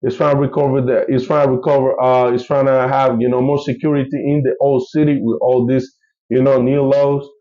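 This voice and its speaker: A man's voice: medium pitch, level tone, fairly quick, warm tone, dull audio. Man with a Colombian Spanish accent, rambling. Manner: rambling, many fillers and restarts. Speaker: travel vlogger. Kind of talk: casual vlog update.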